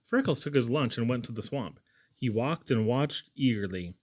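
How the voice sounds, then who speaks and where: A man narrates a storybook from a script. He reads as an audiobook narrator, with a mid-pitched voice. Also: expressive, moderate pace. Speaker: audiobook reader.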